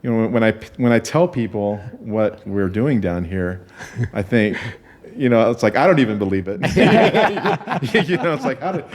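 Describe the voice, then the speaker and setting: A man in his fifties, a guest on a podcast, explaining things in an unhurried way and thinking aloud as he goes. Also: fairly low pitch, expressive. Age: 50s. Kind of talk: podcast interview.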